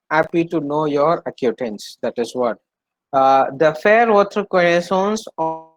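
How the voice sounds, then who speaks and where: A man's voice: on the high side, no echo, poor audio. Indian-accented man teaching, close dry sound. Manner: repeats phrases, checks understanding with short questions. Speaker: French language teacher. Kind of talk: interactive language lesson, translating line by line.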